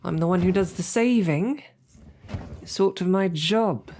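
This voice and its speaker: A woman's voice: on the low side, measured pace, dark tone, slight background noise. A woman voicing a snarky, formal captured royal knight in an audio roleplay. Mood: huffy, resigned. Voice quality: formal, wordy and wry. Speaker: audio drama performer.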